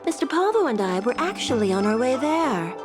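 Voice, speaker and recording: A poised court secretary speaks with polished politeness in a slightly high voice. Her pitch moves widely, and background music from the game plays beneath her. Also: big pitch swings. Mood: courteous. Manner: courteous, formal, measured. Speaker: palace secretary. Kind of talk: video game voice acting. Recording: game cutscene over music.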